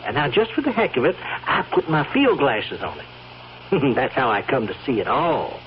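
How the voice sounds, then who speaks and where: A man acts out a wheedling stable hand with blackmail in mind, in old radio drama style. His folksy, insinuating talk rambles and swings widely in pitch. The recording is dull, with faint hiss. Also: very animated, normal speed, natural voice. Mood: sly, insinuating. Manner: folksy, rambling, insinuating, chuckling drawl of hesitations.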